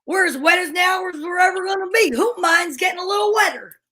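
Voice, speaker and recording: A woman's voice: very high-pitched, a little fast, pushed and bright, raised voice, voice pushed up. A woman acting an earnest, plucky volunteer deputy in an old-time radio adventure serial.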